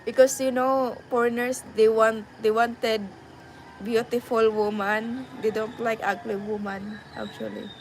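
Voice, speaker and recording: A woman's voice: fairly high pitch, level tone, unhurried, a little hiss or hum, poor audio. Chatty Filipino woman vlogger. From Philippines. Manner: chatty, switches freely between English and Tagalog, laughs often. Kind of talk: casual chat to viewers.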